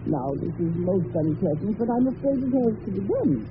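A woman's voice: mid-range voice, big pitch swings, normal speed, narrow, tinny audio, close to an everyday voice. An actress playing a scheming older woman, a retired stage star turned killer, with a theatrical manner in an old radio drama. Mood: cold menace. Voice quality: theatrical, shifting from cold menace to feigned confusion. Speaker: villain. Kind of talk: 1940s radio acting. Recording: thin, noisy old radio broadcast.

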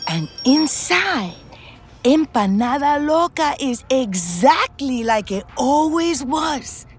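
An actress playing a foul-mouthed, middle-aged ex-convict, telling her story in a confiding, conversational way. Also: a little high. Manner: streetwise, blunt, confiding. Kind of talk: scripted first-person narration, conversational.

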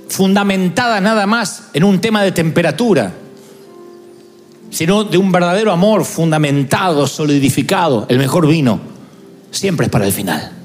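A man in his fifties preaching a fervent sermon as pastor, building up to shouts. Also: high voice. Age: 50s. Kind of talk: impassioned sermon.

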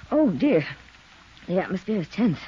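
A woman playing a mysterious, gracious middle-aged spirit medium in a 1950s radio drama, genteel and portentous, with hesitant pauses. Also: a little high. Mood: uneasy, portentous. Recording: dull 1950s radio recording, faint noise.

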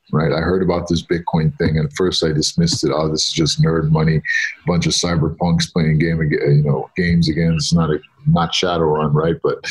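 A man from New York talks as a guest on a podcast. His voice is deep and rough-edged. He tells his story conversationally, in plain and informal words. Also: darkish tone, low-quality recording. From New York, born in Egypt. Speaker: podcast guest, startup founder.

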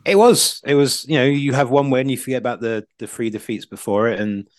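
A man's voice: mid-range voice, brisk, no room sound, clean studio sound. A man co-hosting a podcast, giving casual, discursive football punditry full of asides.

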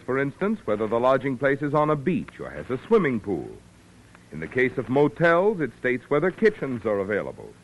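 A man's voice: mid-range voice, slight background noise. A man working as a radio announcer in 1940s style, polished, formal and emphatic as he reads scripted announcements. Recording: muffled vintage radio broadcast, faint music.

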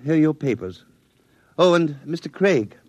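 A man playing a stern, condescending military psychologist in a radio drama, speaking in a measured, formal way. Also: a little high, lively intonation, a little mellow, no room sound. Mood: cool, cautioning. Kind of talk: 1950s radio drama acting.

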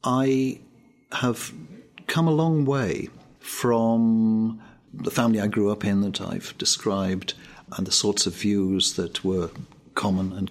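An older man from Wales, answering interview questions in a measured, thoughtful way. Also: fairly low pitch, creaky. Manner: measured, thoughtful, with pauses and fillers.

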